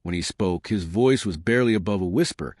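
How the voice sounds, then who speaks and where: A man reading scripted science fiction narration in a measured, dramatic storytelling manner. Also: sits low, darkish tone, no echo, studio quality.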